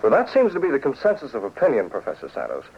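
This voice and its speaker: An actor playing a confident, shrewd newspaper publisher with a husky voice, in 1940s radio style. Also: male voice, mid-range voice, wildly swooping pitch, fast talker, a crowd in the background. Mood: shrewd, measured. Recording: dull lo-fi 1940s radio recording.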